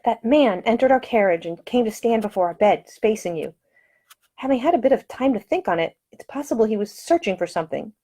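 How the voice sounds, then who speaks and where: A woman's voice: on the high side, animated, fairly quick, mellow, pitched above the performer's own voice. A woman voicing a brave, proper young lady in an audiobook story, with formal, articulate speech. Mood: anxious, earnest.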